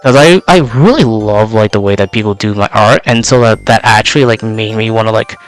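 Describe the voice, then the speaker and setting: A man's voice: lowish voice, a little fast, soft background music, poor audio. A young man, a native Vietnamese speaker, gives a casual in-character self-introduction as a VTuber. He rambles with many filler words, and his voice is slightly worn out.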